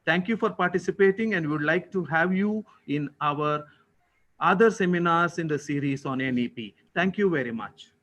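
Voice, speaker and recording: A man with an Indian accent giving a formal, prepared thank-you speech as a college official, with deliberate, ceremonious and courteous phrasing. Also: fairly high pitch, fairly bright tone.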